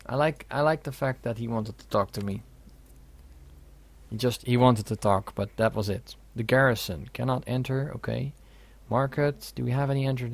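Gravelly man doing casual game commentary. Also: mid-range voice, moderate pace. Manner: reads game dialogue aloud, jokey asides. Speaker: gaming video host. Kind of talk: casual let's play commentary.

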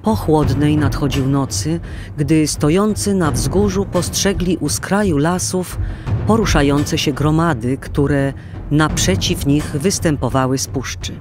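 A man's voice: on the high side, unhurried, noisy background. A man narrating a historical audio drama in a scripted storybook style. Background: prominent music with drums.

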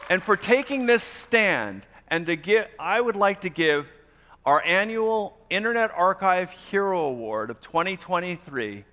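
High bright-voiced older man on a hall stage. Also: sing-song, a little hiss or hum. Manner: halting, many restarts. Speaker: event host. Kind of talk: speech at an event. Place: large auditorium stage. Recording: long hall reverb.

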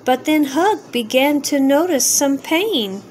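A woman reading a rhyming children's story aloud in an expressive, drawn-out sing-song way. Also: sits high, a little hiss or hum. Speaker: storybook reader.

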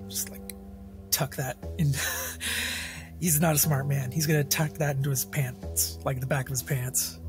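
A man plays a tabletop game in a casual style. He talks slowly at a medium pitch as he describes what his character does. Faint music runs behind him. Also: steady tone. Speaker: role-playing game player. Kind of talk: casual third-person description of character's actions.

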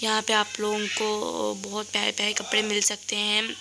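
A woman's voice: mid-range voice, completely flat delivery, normal speed, creaky, noisy background. A woman from Pakistan with a sore throat, narrating a casual shopping vlog in a cheerful, chatty way and pointing things out to her viewers. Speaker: vlogger. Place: voice-over recorded later, indoors.

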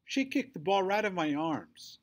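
A man's voice: mid-range voice, very animated, normal speed, slightly rough. Man putting on a sneering, growling bully boy. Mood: roaring, accusing. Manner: growling, snorting, taunting.

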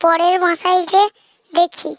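A performer voicing a mischievous, always hungry trickster fox with a childlike, squeaky, singsong delivery in a children's fairy-tale cartoon. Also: little pitch movement, unhurried, a crowd in the background. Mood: hungry, grumbling. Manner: singsong, repeats a nonsense rhyming catchphrase.

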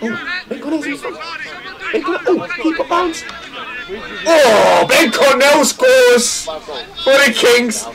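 A man commentating on an amateur football match from the touchline, calling the play excitedly and repeating himself. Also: slow pace, pauses often, over music. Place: outdoors on the touchline. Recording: loud crowd on an outdoor pitch.

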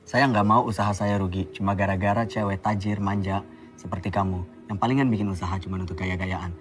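An actor playing a stern, stubborn young small-business boss in a naturalistic film drama. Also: male voice, deep voice, steady tone, brisk, soft background music, lightly acted. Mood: curt, offended. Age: young adult.

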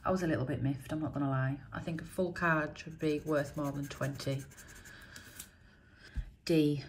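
Croaky woman scratching cards. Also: low-pitched, steady tone, normal speed, slight background noise. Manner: chatty, reads letters out one by one. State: croaky from a chest infection. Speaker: scratch card vlogger. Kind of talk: casual commentary. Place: indoors at a table.

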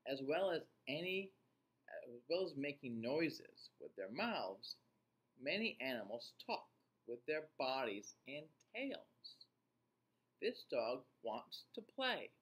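Male teacher, middle-aged, children's read-aloud. Very unhurried delivery, animated pitch swings, questions to the listeners. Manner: deliberate, expressive, pausing to question listeners. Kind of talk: picture-book read-aloud for young children.

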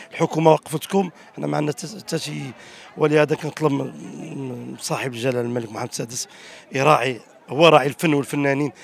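A middle-aged man airs a complaint in a street interview. He talks extremely fast in a fairly high voice with a gravelly creak. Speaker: interviewee, events business owner. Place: outdoors by a gate, large plaza. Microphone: handheld reporter microphone.

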